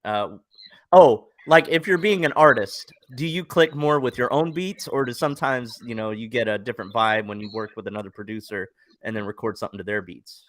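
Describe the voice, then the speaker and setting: A man's voice: medium pitch, a little fast. A man hosting a casual interview show, relaying viewer questions in an easygoing way. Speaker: show host reading chat questions.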